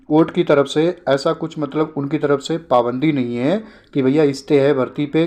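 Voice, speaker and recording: A man's voice: on the high side, darkish tone. A man hosting an informal news explainer, repeating points and posing short questions that he answers himself. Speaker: host of an exam updates channel.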